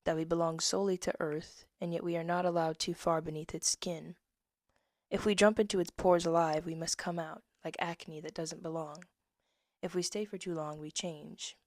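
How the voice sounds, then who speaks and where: A woman, reading her old poems and essay aloud with casual asides, soft-spoken and slipping into whispers. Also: fairly low pitch, fairly even intonation, normal speed, no echo, clean studio sound. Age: 20s. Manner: soft-spoken, drifts into whispers, laughs at herself. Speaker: poet reading her own work.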